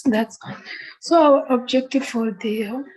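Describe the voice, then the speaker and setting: A young woman from Somalia, whose first language is Somali, leads a beginners' language class. Her voice sits a little high. She speaks English haltingly, restarting words as she goes. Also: a little mellow, low-quality recording. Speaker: language teacher.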